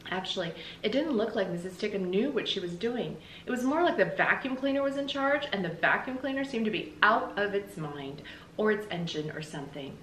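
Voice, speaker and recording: A middle-aged American woman reading a children's story aloud in an animated, emphatic, explanatory way, like a teacher. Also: mid-range voice, brisk. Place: small indoor room with bookshelves. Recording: roomy echo, faint background noise.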